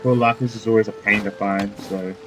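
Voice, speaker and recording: A man's voice: on the low side, steady tone, a little fast. A man giving casual, off-the-cuff gameplay commentary as he plays. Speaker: gamer, let's player. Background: game music.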